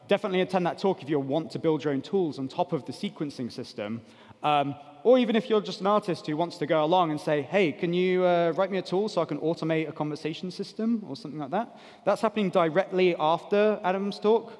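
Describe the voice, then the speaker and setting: A man's voice: fairly high pitch, fast, a little mellow. A man in his thirties giving an informal technical talk on a conference stage, explaining things in a casual, loose way. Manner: casual, explanatory, peppered with "like" and "kind of". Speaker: conference speaker. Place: large conference hall stage. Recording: big reverberant hall PA sound.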